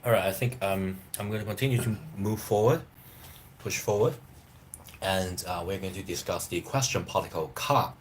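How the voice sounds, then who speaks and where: Man, 30s, native Chinese speaker, deep voice, loose rambling live-stream host, faint room hum. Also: moderate pace. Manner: rambling, hesitant, loose phrasing. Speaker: language teacher live-streaming. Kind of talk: casual live-stream chat.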